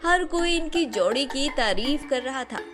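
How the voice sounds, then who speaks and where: High-voiced woman narrating a story. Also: lively intonation, measured pace, over music.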